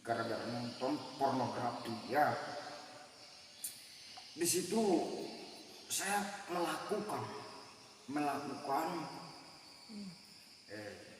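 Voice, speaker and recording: A young man in his twenties from Central Kalimantan, giving an earnest religious testimony in short, halting phrases. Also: mid-range voice, animated. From Central Kalimantan, Indonesia. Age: 20s. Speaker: speaker giving a personal testimony. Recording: very echoey hall, mic far off, faint noise.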